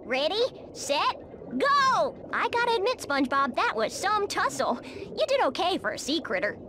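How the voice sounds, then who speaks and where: High, brash Texan cartoon squirrel gal. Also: female voice, very animated, moderate pace, background noise. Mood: competitive, confident. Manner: folksy, brash, twangy drawl. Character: feisty, sporty Texan cartoon squirrel woman. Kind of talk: animated video game voice acting.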